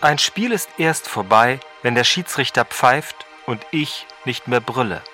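A man playing a loud, brash football coach in a comic radio quote segment. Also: medium pitch, lively intonation, a little slow, faint music behind. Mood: emphatic.

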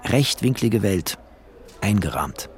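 An actor playing a bookish, wry refugee narrator in a radio play, speaking in terse, poetic fragments. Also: male voice, lowish voice, lively intonation, slow pace, noisy background. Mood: lyrical, observant.

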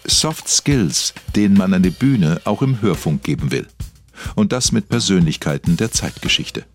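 A man's voice: deep voice, expressive, a little slow, faint music behind. A man reading scripted narration as the narrator of a mock-serious radio documentary.

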